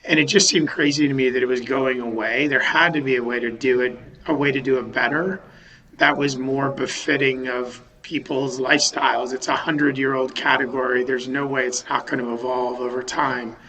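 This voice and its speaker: A man from Western Massachusetts, a company founder, talking over a video call in a thoughtful, halting way with many restarts. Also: mid-range voice, fairly even intonation, sharp tone, low-quality recording. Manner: thoughtful, restarts sentences, many um and you know. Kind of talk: remote podcast interview. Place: home or office in a city.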